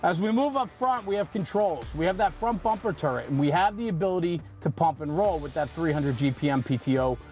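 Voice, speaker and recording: A man's voice: a little high, expressive, a little fast, few pauses, darkish tone, soft background music. A man hosting an equipment walkaround in a conversational, explanatory manner. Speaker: host presenting fire apparatus walkaround. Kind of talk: on-camera walkaround presentation.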